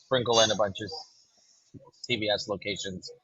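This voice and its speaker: A man from Los Angeles, a brand co-founder, talking casually in a podcast interview and pausing on ums and restarts. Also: fairly low pitch, breathy edge, no room sound, highs cut off. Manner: relaxed, fills with ums and restarts.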